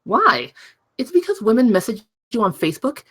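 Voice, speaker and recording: A woman's voice: on the high side, sing-song, normal speed, fairly bright tone, breathy edge, exaggerated voice. A woman playing a nagging, suspicious fiancée in a comedy table read, pointed and insistent. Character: nagging, suspicious fiancée set on her wedding.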